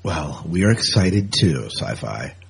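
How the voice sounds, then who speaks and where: A man's voice: extremely low pitch, big pitch swings, slow, gravelly, husky. A man hosting a casual science fiction news podcast in an enthusiastic, conversational way. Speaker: podcast host. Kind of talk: casual sci-fi news chat.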